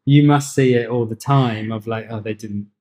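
A man's voice: medium pitch, steady tone, moderate pace, darkish tone. A man hosting a podcast, chatting informally and asking questions in a casual, repetitive way. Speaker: podcast host. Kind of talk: casual interviewing.

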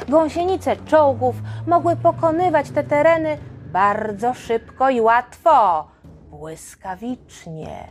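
A woman narrating a history story for children in a conversational, explanatory way with dramatic emphasis. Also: sits high. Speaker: children's history podcast host.

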